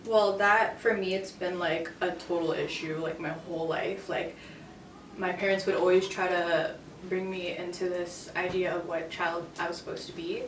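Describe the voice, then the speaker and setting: A woman's voice: on the low side, fairly even intonation, moderate pace. A young woman in a casual group discussion, talking hesitantly with many fillers. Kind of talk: casual chat. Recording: roomy, from a distance, faint noise.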